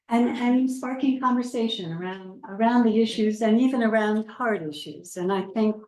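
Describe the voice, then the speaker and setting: A woman moderating a community panel, welcoming a live audience in a gentle, measured and slightly hesitant way. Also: medium pitch. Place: community meeting room with a seated circle. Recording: roomy room sound.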